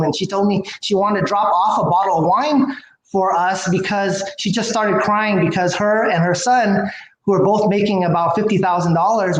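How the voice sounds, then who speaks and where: A man who runs a housing company, speaking as an interview guest, enthusiastic and rambling with many fillers and restarts. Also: high-pitched. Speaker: company president and CEO, guest. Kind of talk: casual interview talk. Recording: roomy with faint background noise.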